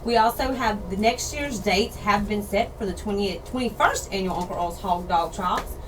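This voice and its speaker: A woman co-hosting a radio outdoors show in casual chat, slightly hoarse from losing her voice. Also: medium pitch, moderate pace. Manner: chatty, laughs easily, repeats details. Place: radio studio. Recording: distant mic with background noise.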